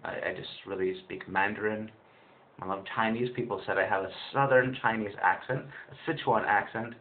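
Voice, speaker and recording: A man's voice: fairly low pitch, fairly even intonation, brisk. A young man in his twenties talking to his webcam in a small room, rambling with lots of fillers and restarts. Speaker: vlogger, English teacher in China. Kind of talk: casual webcam vlog. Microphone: webcam built-in mic, close. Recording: tinny lo-fi webcam audio with faint hiss.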